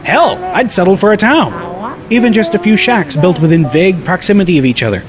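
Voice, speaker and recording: A man's voice: sits high, lively intonation, a little fast. A man reading a first-person scripted story as an audiobook narrator.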